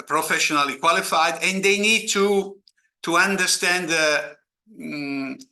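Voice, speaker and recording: An Italian-accented man hosts an online meeting and introduces the next speaker in halting English full of fillers. His voice is very bright and sits fairly high. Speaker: meeting host.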